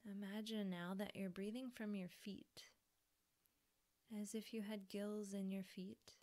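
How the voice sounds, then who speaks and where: A woman softly guiding a yoga relaxation meditation in a breathy, soothing manner with pauses. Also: medium pitch, fairly even intonation. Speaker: yoga nidra teacher.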